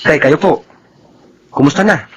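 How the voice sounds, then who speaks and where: An actor playing an earnest, caring young son in a Filipino radio drama, with a Filipino accent. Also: male voice, a little high, measured pace, fairly bright tone, breathy edge. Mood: concerned, earnest. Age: young adult. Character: earnest young son, worried for his father.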